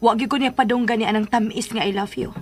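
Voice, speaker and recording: A woman's voice: on the high side, fairly even intonation, fast talker, natural voice. A woman playing a wounded, doubting young daughter, plaintive in a radio drama. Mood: doubtful.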